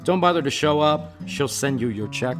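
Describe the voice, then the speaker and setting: An actor in a comedic radio drama playing a curt, smug, lecherous boss with a brisk, haughty manner. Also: male voice, a little high, animated, close to an everyday voice. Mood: curt, threatening. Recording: music behind the dialogue.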